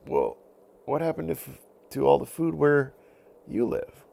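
A brave, protective hero from a bedtime story, voiced by a man. He sounds confident and helpful, with firm declarations and big swings in pitch. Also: big pitch swings, measured pace, vocal fry, dark tone, full character voice.

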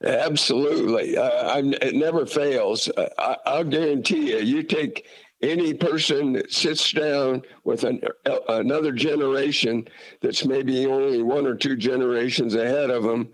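A man's voice: mid-range voice, normal speed, poor audio. A man from Utah talking casually about family history, folksy and rambling with many fillers and restarts. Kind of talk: casual conversation.

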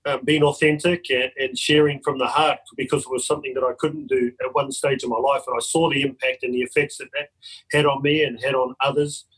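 A man with a New Zealand accent and a husky, slightly rough voice talks as a podcast guest. He is upbeat and heartfelt, laughing easily between thoughts. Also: mid-range voice, little pitch movement, a little fast, a bit bright, poor audio. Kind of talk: casual conversational interview.

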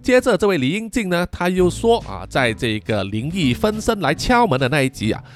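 A man's voice: a little high, very animated, fairly quick, soft background music. A man, middle-aged, hosting a podcast in a casual, chatty style, reading out and answering listener comments with frequent sentence-final particles. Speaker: podcast host.

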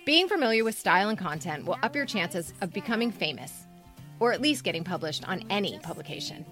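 A woman with a bright, crisp-edged podcast host voice at a middling pitch. She talks in a casual co-host manner or reads show notes to listeners, with faint music at times. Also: very animated, fairly quick. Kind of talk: casual co-host chat and scripted show outro.

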